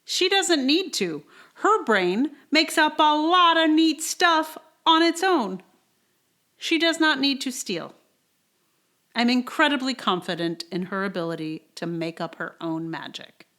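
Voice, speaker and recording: A woman's voice: sits high, animated, a little slow, a bit bright. Wry woman podcaster in her forties. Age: 40s. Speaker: podcast host reading own blog post. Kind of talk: casual intro, then read-aloud personal essay. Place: indoors in a sparsely cushioned house. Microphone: portable travel microphone. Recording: travel mic in slightly echoey house.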